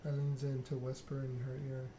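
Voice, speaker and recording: A man's voice: mid-range voice, completely flat delivery, brisk, noisy background. A man doing an ASMR read-aloud of a narrative game, occasionally stumbling over the written text. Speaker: gaming channel host.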